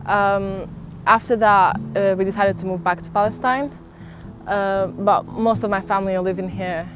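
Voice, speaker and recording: A woman's voice: medium pitch, steady tone, moderate pace. A young woman who grew up in Bosnia and whose first language is Bosnian, speaking hesitantly with many fillers in a documentary interview. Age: young adult. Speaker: student interviewee. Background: music.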